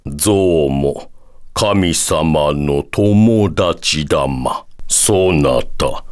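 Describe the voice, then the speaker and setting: A man voicing a solemn, long-lived devil in a Japanese video game, pompous and drawn-out, with a quirky verbal tic at sentence ends. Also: extremely low pitch, darkish tone, clean tone, exaggerated voice. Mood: solemn, musing.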